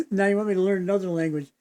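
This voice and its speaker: A man's voice: sits high, mellow. A man hosting a reaction video, talking in a casual, folksy way that wanders off into tangents and back, with lots of fillers. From United States. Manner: meandering, folksy, digressive with many fillers. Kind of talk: casual rambling commentary.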